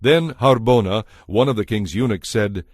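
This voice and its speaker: A man narrating a dramatized audio Bible in a measured, formal storytelling manner. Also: on the low side, darkish tone, no echo, studio quality.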